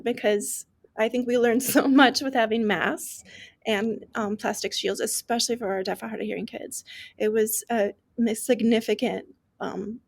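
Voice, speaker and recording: A woman's voice: mid-range voice, creaky, breathy edge. A woman guest expert on an interview podcast, explaining earnestly with frequent restarts. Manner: earnest, restarts often, many "like" and "um". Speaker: guest expert on deaf education. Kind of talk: conversational interview.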